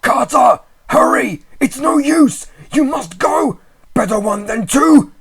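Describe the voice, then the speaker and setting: A man's voice: extremely high pitch, takes it slowly, creaky, a crowd in the background, voice pushed up, heavily acted. A man voicing a terrified, doomed man screaming frantic warnings in a horror story reading. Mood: frantic pleading. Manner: frantic, shrieking, pleading.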